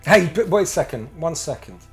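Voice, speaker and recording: A man's voice: fairly high pitch, animated, fairly quick, soft background music. A middle-aged American man, a podcast guest, talking casually in a rambling way with many restarts and some blunt profanity. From United States. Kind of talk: casual interview chat.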